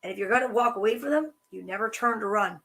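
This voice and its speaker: Bright, animated woman reading storybooks. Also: medium pitch, quick pace. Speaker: storytime host reading children's books. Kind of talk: reading picture books aloud with chatty asides.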